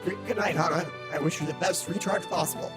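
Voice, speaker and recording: A woman's voice: on the low side, fast, music in the background, close to an everyday voice. A woman voicing a devoted, courtly robot bird in a visual novel. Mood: devoted, tender.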